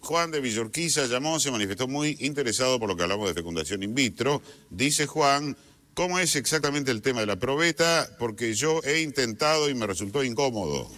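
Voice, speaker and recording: A man hosting a comic radio sketch, reading listener messages in a deadpan, mock-serious way. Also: mid-range voice, a little fast. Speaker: radio host.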